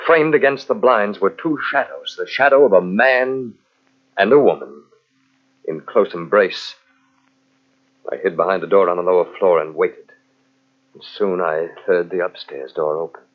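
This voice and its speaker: An actor playing a vengeful, jealous army captain in old-time radio mystery style, with a brooding, menacing, melodramatic delivery. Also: male voice, sits low, moderate pace, long pauses. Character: vengeful, coldly jealous army captain narrating his tale. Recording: muffled old radio show from cassette.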